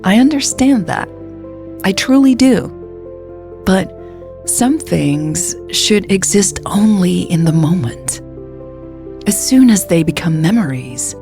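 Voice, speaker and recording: A woman narrating a scripted first-person story in a reflective, confiding, measured manner. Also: deep voice, gravelly, a little mellow. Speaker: narrator of a romantic story podcast.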